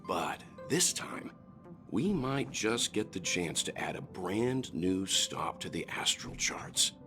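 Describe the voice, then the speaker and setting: A composed, middle-aged gentleman adventurer from a game, speaks in a low, dignified voice. He is calm and measured, with music clearly behind him. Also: full character voice. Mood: calm, quietly excited. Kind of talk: scripted game dialogue.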